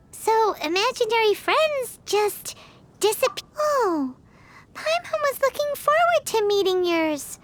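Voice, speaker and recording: A woman voicing a tiny, excitable, nosy floating girl companion in a video game, childish and whiny. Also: extremely high pitch, full character voice. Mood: sulky, wary. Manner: refers to self in third person, whiny. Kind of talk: video game character acting. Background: music.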